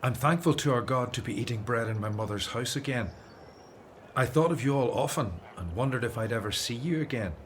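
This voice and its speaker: A man's voice: medium pitch, brisk, slight background noise, lightly acted. Humble, earnest older man, played by an actor. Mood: grateful. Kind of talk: radio drama acting.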